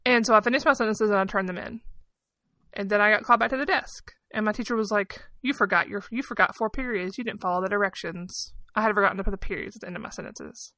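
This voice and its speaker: A woman's voice: medium pitch, quick pace, pushed and bright, no room sound. A woman in her thirties chatting casually as a podcast co-host, telling personal stories in a candid, informal, self-deprecating way. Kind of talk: casual personal storytelling and chat.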